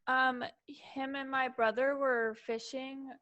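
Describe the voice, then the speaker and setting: A woman's voice: high voice, level tone, slow, bright, forceful tone, muffled recording. A woman from California speaking casually in conversation as a student. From California, United States.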